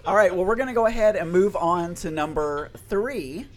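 A man in his forties with a Southern accent, hosting a casual podcast, chatty and animated, laughing often and restarting his sentences. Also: high-pitched, normal speed, crowd noise. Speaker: podcast host. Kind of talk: casual chat.

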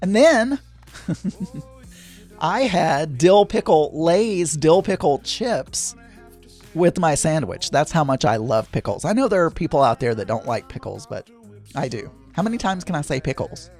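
A man with a hoarse, crackly voice, hosting a podcast in a rambling, chatty, digressive way with some self-deprecating asides. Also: on the high side, big pitch swings, unhurried, darkish tone, soft background music. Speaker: podcast host. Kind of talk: rambling casual chat.

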